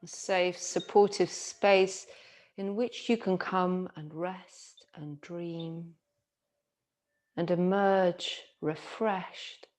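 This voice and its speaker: A woman yoga teacher guiding a relaxation meditation in a soothing, gentle manner with long pauses. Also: fairly low pitch, steady tone.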